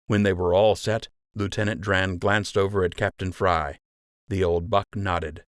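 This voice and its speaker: A man's voice: low-pitched, fairly even intonation, measured pace, dry recording, clean studio sound. A man reading a children's adventure audiobook as scripted storytelling narration.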